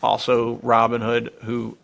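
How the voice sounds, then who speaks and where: A male podcast co-host with a noticeably creaky voice at an ordinary male pitch. He chats casually and enthusiastically, leaning on slang and calling his listener "dude.". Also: completely flat delivery, a little slow, fairly bright tone.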